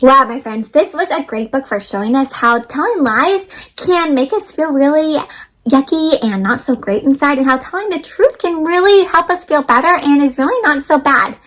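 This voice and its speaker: A woman hosting an interactive storybook read-aloud for children, speaking in an animated way and putting questions directly to her young listeners. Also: high voice, normal speed.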